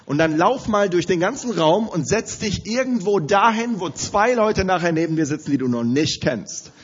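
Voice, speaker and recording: A man's voice: high voice, animated, normal speed, husky, muffled recording. A man with a cold, energetically addressing a conference audience and giving them instructions. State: has a cold, slightly strained voice. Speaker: conference host for youth leaders. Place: large conference hall with audience.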